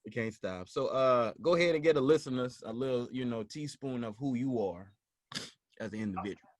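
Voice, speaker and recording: A man's voice: mid-range voice, a little fast, no room sound. Playful podcast host man, dry sound. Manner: joking and playful. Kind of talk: casual interview chat.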